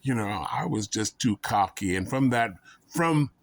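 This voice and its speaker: A man's voice: medium pitch, animated, measured pace, vocal fry, dry recording. A man vlogging in a casual, comedic storytelling style, rambling and folksy, often making fun of himself. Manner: rambling, self-mocking, folksy.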